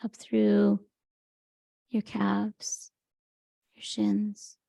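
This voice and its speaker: A woman leading a guided meditation in a gentle, unhurried, soothing manner, with a soft, breathy voice. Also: medium pitch, monotone, dry recording. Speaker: meditation teacher. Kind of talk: guided meditation, Yoga Nidra.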